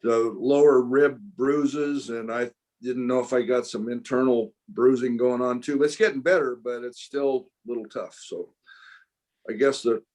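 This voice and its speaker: Man in his 70s, plainspoken, unhurried, casual check-in. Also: mid-range voice, steady tone, a little mellow. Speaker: Bible study group member.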